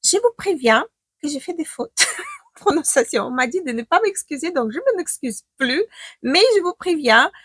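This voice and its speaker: A woman's voice: high-pitched, sing-song, moderate pace, a bit bright, no echo. A woman from Ukraine who speaks with a Ukrainian accent and whose first language is not the one she speaks. She chats casually to camera as a beauty vlogger, hesitating and thinking aloud while she applies makeup at home. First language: not French. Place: home room with daylight.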